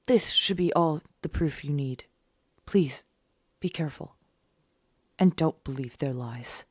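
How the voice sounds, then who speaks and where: An actress playing a guarded, weary young vigilante superhero in an audio drama, speaking in a subdued, deliberate way. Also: female voice, sits low, husky. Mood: firm, sorrowful.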